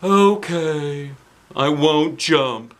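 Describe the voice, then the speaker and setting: Man doing an eccentric, high-pitched school principal. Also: a bit bright. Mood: resigned. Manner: exaggerated, drawn-out delivery. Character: eccentric, exuberant middle-aged male school principal. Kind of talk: children's storybook character reading.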